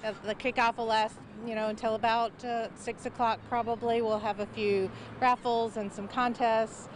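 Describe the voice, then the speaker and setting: A woman's voice: on the high side, level tone, normal speed, a little hiss or hum. A woman in her forties, an event organizer, answering a live TV interview outdoors in a friendly, informative way with a few fillers. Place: outdoors on a town street. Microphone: reporter's handheld mic.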